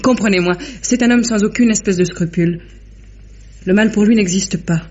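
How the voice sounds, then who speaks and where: An actress playing a melancholy, unloved wife in a French radio drama, with a husky, breathy voice. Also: female voice, medium pitch, normal speed, a little mellow, close to an everyday voice. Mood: sorrowful, resigned. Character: melancholy, unloved wife confiding in her admirer. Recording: muffled old radio recording.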